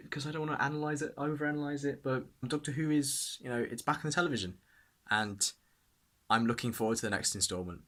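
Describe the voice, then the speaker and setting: A man's voice: fairly low pitch, sharp tone. Casting: British male vlogger, early twenties, casual, self-deprecating, rambles as he reflects. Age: early 20s. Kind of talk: casual personal vlog and reflective narration.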